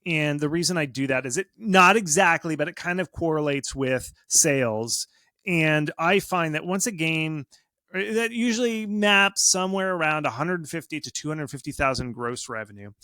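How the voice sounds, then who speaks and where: A man giving casual, animated explanations as an expert guest in an interview, speaking informally and with emphasis. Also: a little high, normal speed, no room sound, clean studio sound. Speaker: video game marketing expert, interview guest.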